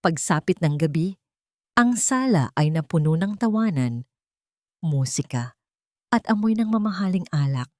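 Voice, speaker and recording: A woman's voice: fairly low pitch, expressive, a little slow, long pauses, darkish tone, dry recording, studio quality. A woman with a Filipino accent reading a dramatic story as an audiobook narrator.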